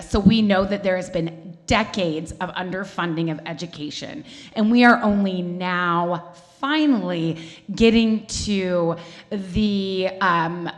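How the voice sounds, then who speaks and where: A woman from Oregon, a school board member, opening a public forum with loud, thankful remarks. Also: fairly low pitch. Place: church hall.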